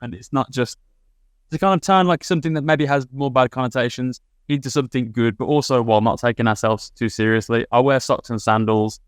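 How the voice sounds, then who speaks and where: Fast-talking young man from Birmingham. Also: mid-range voice, clear, resonant tone, dry recording, studio quality. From Birmingham, England. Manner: quick, many restarts and fillers. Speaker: guest. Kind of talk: casual chat.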